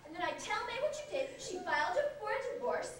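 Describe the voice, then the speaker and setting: A young American woman acting a blunt, agitated bride in a comic high school stage play, projecting her lines declamatorily. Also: sing-song, fast talker. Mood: indignant. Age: young adult. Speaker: amateur stage actress. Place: indoor school theatre stage. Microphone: far camera microphone. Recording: distant, echoey auditorium videotape with noisy audience.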